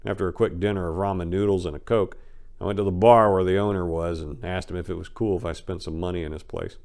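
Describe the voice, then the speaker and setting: A man's voice: deep voice, fairly even intonation, fast, darkish tone. A man giving a dramatic reading of a first-person story as an audiobook narrator, in a colloquial, salty and emphatic way.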